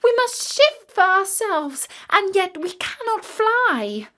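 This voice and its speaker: A woman's voice: extremely high pitch, sing-song, slow, a bit bright, voice pushed up, full character voice. A woman voicing a helpless, plaintive young raven chick in a children's storybook tale. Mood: wailing, desperate. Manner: wailing, plaintive.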